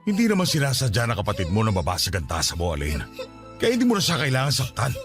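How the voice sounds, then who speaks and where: A man's voice: mid-range voice, wildly swooping pitch, fast, over music. A man playing a worried, firm middle-aged father in a Filipino radio drama. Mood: firm, upset.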